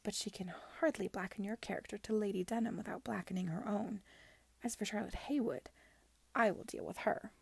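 A scornful young gentlewoman of a period storybook, as a woman reader plays her. She is moody, scheming and used to giving orders. The tone is dark and husky, recorded very dry, with a cutting disdain. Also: mid-range voice, fairly quick, dry recording. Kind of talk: audiobook character dialogue.

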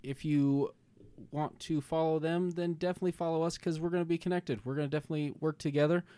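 A man's voice: a little high, quick pace, clean tone, dry recording. A man hosting a podcast in casual chat, joking and laughing easily. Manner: jokey, laughing, lively delivery. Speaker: podcast host.

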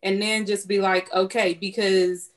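Mid-pitched woman, blunt opinions, apologetic asides. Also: level tone, a little slow. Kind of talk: casual debate chat.

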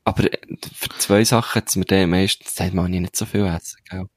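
A man with a Swiss German accent and a fairly low voice, recorded very dry. He chats as a podcast co-host in a colloquial, anecdotal way, dropping in slang and tag questions. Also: steady tone, a little fast, dry recording. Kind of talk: casual chat.

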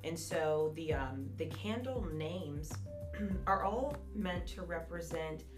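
A woman's voice: low-pitched, animated, takes it slowly, reverberant, over music. A woman from Florida, a small-business owner talking as a guest in an interview, chatty and upbeat. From Jacksonville, Florida, US. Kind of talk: casual conversational interview.

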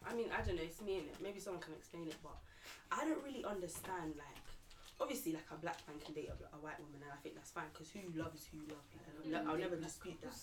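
A young woman talks quickly but hesitantly, thinking aloud as she goes. She is set back from the mic in an echoey room, with a faint hiss underneath. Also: deep voice. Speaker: podcast panelist. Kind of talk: casual discussion. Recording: roomy, distant, faint noise.

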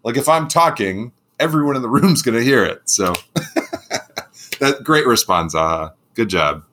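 A man who is a middle school teacher with a booming voice, co-hosting a casual sports podcast in an animated way. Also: unhurried, slight background noise. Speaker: podcast co-host.

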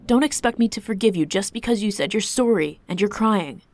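A woman's voice: lowish voice, normal speed, a little mellow, slight background noise, close to an everyday voice. A woman voicing a proud, wounded husband and king in a storybook, intense and indignant. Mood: angry, hurt. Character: proud, wounded husband and king, cool but hot-tempered.

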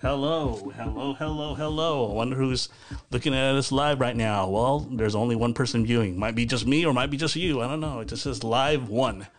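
A man's voice: mid-range voice, normal speed, music in the background. A man hosting a casual live video podcast from his home over a video call, chatty and rambling with lots of fillers and restarts. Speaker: podcast host. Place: bedroom at home.

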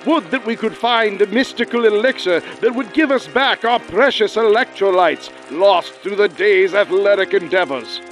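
High-voiced pompous crusader knight. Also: male voice, normal speed, hardly any pauses, fairly bright tone, soft background music, poor audio. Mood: hearty, grandiose. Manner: pompous mock-archaic declaiming. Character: pompous medieval crusader knight, hearty and zealous. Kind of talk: parody 1940s radio play acting.

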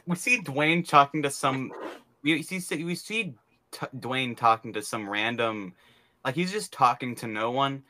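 A man's voice: mid-range voice, lively intonation, measured pace, sharp tone, a little hiss or hum. A young man co-hosting a podcast with his sister, joking around and giggling often. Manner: giggly, playful. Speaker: podcast co-host. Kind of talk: joking sibling banter.

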